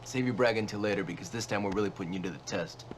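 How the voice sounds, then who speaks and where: An actor playing an authoritative, serious military commander who mentors a recruit in a scripted game cutscene, speaking firmly. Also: male voice, lowish voice, racing, a little hiss or hum, lightly acted. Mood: proud, firm. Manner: firm, instructing. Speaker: commanding officer.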